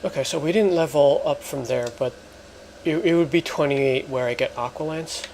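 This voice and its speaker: A man hosting a let's play, explaining things in casual, halting gameplay commentary. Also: on the high side, darkish tone, slight background noise, rough recording. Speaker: gamer, let's play host.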